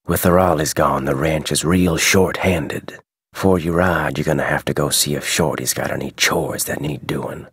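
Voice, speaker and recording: An actor playing a gruff, guarded head wrangler in a video game, with a terse, folksy American Western drawl. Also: male voice, rumbling low, fairly even intonation, normal speed, no echo, studio quality, heavily acted. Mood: gruff, instructing. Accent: American Western cowboy drawl. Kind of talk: scripted game dialogue.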